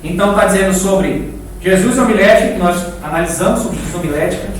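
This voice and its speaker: Echoey, high-pitched Brazilian man teaching class. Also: fast talker. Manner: didactic, conversational, repeats words for emphasis and puts questions to the class. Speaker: teacher of a church preaching class. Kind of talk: informal classroom lecture. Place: echoing indoor room, live class. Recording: very echoey room, distant mic, faint noise.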